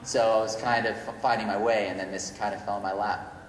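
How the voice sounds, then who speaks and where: A middle-aged man speaking off the cuff as a writer guest on a panel, halting and often correcting himself mid-thought. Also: fairly low pitch, level tone. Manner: halting, rambling, self-correcting. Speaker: screenwriter guest at convention Q&A. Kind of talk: unscripted panel talk. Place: large indoor convention panel room. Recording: echoey reverberant hall, noticeable noise.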